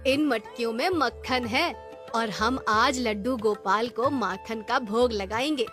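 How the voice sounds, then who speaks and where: A woman plays a devout village mother from a children's cartoon tale. Her voice is high and affectionate, coaxing her child along, with music playing underneath. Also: measured pace, a bit bright. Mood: gentle, caring. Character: caring, devout village mother of a young boy. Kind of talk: cartoon story character voice.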